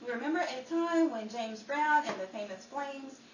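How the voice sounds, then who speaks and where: A woman's voice: high voice, expressive, normal speed, dull audio. Theatrical woman narrator in a roomy space. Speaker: narrator, host. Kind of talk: scripted nostalgic old-time radio narration. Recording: roomy, distant live recording with audience, noise.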